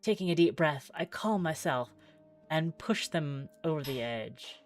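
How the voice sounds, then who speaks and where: A woman's voice: sits low, lively intonation, unhurried, soft background music. A woman streaming a visual novel, reading the text aloud theatrically with wry, exclaiming asides.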